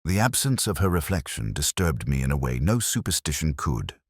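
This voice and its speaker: A man narrating a detective audio drama in the first person as a weary, introspective inspector, with a measured, literary and wry manner. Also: rumbling low, big pitch swings, slightly rough, dry recording, clean studio sound. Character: weary, introspective male English police inspector, middle-aged.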